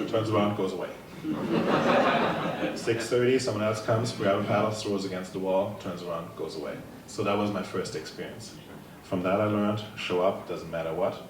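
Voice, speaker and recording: A man from Germany with a German accent gives a conference presentation, telling stories in a casual, upbeat way and answering questions. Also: deep voice. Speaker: table tennis program leader presenting. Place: conference room. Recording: echoey room, from far off, noisy.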